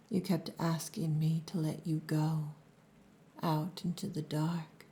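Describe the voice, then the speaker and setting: A low, hushed orc woman, performed by an actress for an ASMR roleplay. She is dominant and possessive, gruff but tender underneath. Her words come extremely slowly and deliberately, in a dark, breathy near-whisper. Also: full character voice. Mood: stern, possessive. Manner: slow, deliberate, dominant, teasing.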